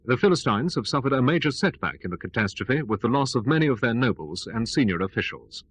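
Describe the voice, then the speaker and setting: A man playing a radio newsreader, reading a dramatized bulletin in a formal, measured way. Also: medium pitch, very animated, slightly rough. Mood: formal, grave. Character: formal male radio newsreader giving headlines.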